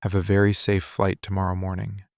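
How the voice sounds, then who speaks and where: A man acting a possessive, wounded mafia boss in an intimate boyfriend ASMR roleplay, speaking in controlled, deliberate, intense phrases. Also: low-pitched, completely flat delivery, creaky, dry recording, studio quality. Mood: cold, resigned. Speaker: ASMR voice actor.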